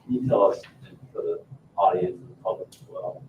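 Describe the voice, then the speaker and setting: Fast, low, flat man, echoey. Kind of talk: questioning at a public meeting. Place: indoor town council meeting room. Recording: phone-like, echoey room, distant, faint noise.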